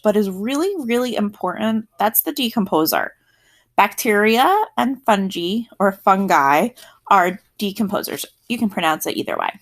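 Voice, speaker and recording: A woman's voice: lowish voice, a bit bright. A woman teacher with a South Jersey accent giving a recorded science lesson, explaining in a friendly, chatty way and correcting herself as she goes.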